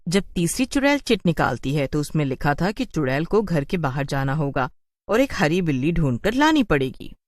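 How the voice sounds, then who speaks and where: Woman narrator, lowish voice, scripted spooky cartoon story, dry recording. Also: big pitch swings, moderate pace, no room sound.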